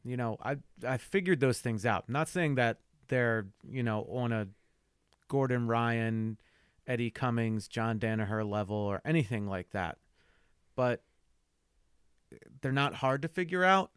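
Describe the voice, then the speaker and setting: A man's voice: mid-range voice, a little slow, clean tone, no room sound. A man co-hosting a podcast in casual chat, swearing casually and teasing, recorded on a close mic. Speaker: podcast co-host.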